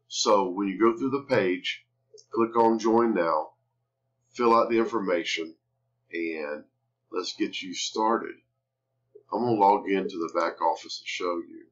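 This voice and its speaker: A man with a fairly low voice walks viewers through a marketing product like a casual online salesman. He repeats himself for emphasis and often tags his sentences with a quick question. The sound is a little dull, like screen-capture audio. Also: a bit bright. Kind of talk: casual sales pitch over a screen-share tutorial. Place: indoors at a computer.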